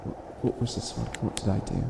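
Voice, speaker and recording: A man's voice: brisk, close to an everyday voice. A man playing a theatrical, flustered would-be evil witch in a comedic ASMR roleplay, stammering and stretching words for dramatic emphasis. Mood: proud, wistful. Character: flustered self-styled evil witch, hopelessly helpful, theatrical. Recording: echoey room with audible background noise.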